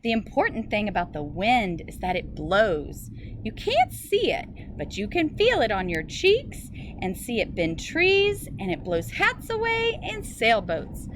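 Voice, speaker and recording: A woman teacher reading a picture book aloud to young children in an expressive way, with simple phrasing. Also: on the high side, unhurried. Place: outdoors in a school garden. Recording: noisy outdoor recording with ambient sound.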